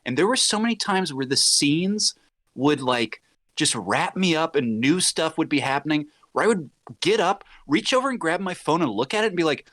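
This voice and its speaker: A man chatting casually as a podcast panelist, animated and slipping into acted-out dialogue as he talks. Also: a little high, normal speed, dry recording, studio quality. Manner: animated, acts out imagined dialogue. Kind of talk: casual pop-culture podcast chat.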